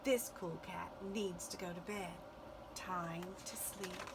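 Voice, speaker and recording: Woman reading as a groovy storybook cat, gravelly edge. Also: lowish voice, steady tone, takes it slowly, very noisy. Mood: weary. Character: laid-back cool male cat, groovy and friendly. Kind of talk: children's storybook character voice.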